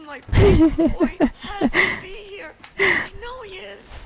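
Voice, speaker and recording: A woman's voice: extremely high pitch, slow pace, exaggerated voice. An actress voicing a frightened young woman hiding from a killer while searching for her son, in an anxious, pleading manner. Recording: game audio with music behind.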